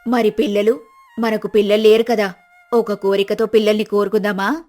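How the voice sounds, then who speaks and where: A woman voicing a scheming, sharp-tongued poor village wife in a storybook drama, talking in a bossy, scolding way. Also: mid-range voice, flat delivery, moderate pace, soft background music, close to an everyday voice.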